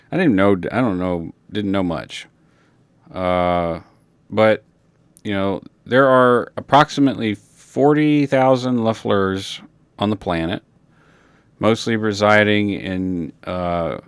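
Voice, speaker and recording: A man whose native language is Creole French, speaking in a reflective, unscripted personal monologue, halting and searching for words. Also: deep voice, clean tone. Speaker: personal documentary narrator.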